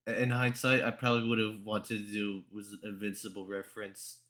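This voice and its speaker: A man's voice: fairly low pitch, fairly even intonation, a little fast, fairly bright tone. A man hosting a casual podcast chat, informal and free with swearing. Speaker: podcast host.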